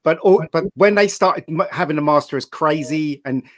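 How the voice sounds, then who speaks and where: A man's voice: a little high, moderate pace. A man chatting casually on a podcast panel, blunt and emphatic with his opinions.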